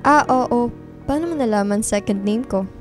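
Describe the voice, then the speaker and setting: A woman's voice: a little high, moderate pace, faint music behind, close to an everyday voice. A woman playing a sulky, teasing college-age best friend in a radio drama, with blunt slangy banter and a guarded streak. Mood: awkward.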